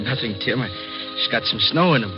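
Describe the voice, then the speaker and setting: An actor playing a gentle, affectionate American working-class father in a 1950s radio drama. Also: mid-range voice, lively intonation, fairly quick, close to an everyday voice. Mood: tender. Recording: dull old radio recording, clear music behind.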